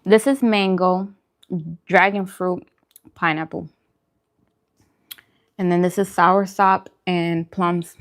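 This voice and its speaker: A woman's voice: on the low side, fairly even intonation, slow, pauses often. A woman chatting casually and in a friendly way as she hosts a food livestream, answering viewers' comments.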